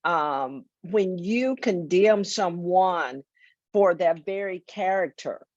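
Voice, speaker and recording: Slow-talking earnest woman co-host. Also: lowish voice, expressive, a little mellow, dry recording. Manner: earnest, repeats words while thinking. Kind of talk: talk show discussion. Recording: dull dry sound.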